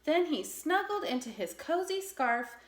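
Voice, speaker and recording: A woman reading a children's story aloud in an expressive narrating style. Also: high-pitched, measured pace. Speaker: storybook reader.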